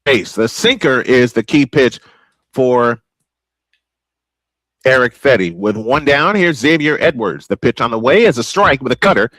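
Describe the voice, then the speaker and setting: A man's voice: mid-range voice, expressive, a little slow, a bit bright, no room sound, poor audio. A man doing old-school baseball play-by-play commentary as the host of a broadcast.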